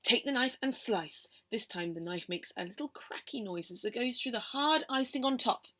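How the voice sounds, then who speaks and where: A woman performs a poem for children as a storyteller. Her voice is fairly high and very lively, swinging widely in pitch. The delivery is theatrical and expressive. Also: normal speed. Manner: theatrical, expressive, with hushed whispers and exclamations. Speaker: storyteller reading a poem aloud.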